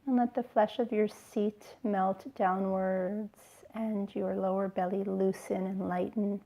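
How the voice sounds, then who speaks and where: Gravelly-edged woman guiding slow relaxation. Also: medium pitch, flat delivery, low-quality recording. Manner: soothing, gentle, unhurried phrasing with pauses. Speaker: yoga teacher leading guided relaxation. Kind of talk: slow guided relaxation instruction.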